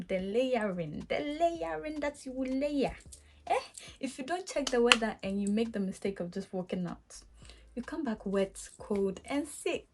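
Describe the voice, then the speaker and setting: Animated young woman with a Ghanaian accent. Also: medium pitch. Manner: animated, acts out her own past reactions with laughs. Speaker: vlogger. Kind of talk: casual storytelling to camera.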